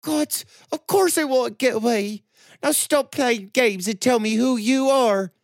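A woman's voice: fairly high pitch, takes it slowly, darkish tone, dry recording, studio quality, pitched above the performer's own voice. A man voicing a frightened young woman held captive, pleading and choked with sobs. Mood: angry.

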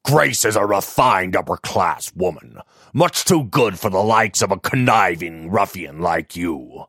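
A man's voice: deep voice, expressive, a little slow, a bit bright, no echo, studio quality, full character voice. A man voicing a plump, pompous older rich man full of contempt. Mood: contemptuous.

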